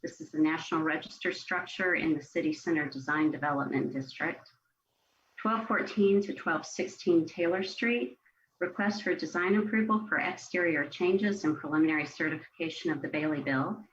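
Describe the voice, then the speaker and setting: A woman's voice: sits low, moderate pace, fairly bright tone. A woman reading agenda items aloud in a formal manner as a meeting staffer. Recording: dull, slightly noisy meeting audio.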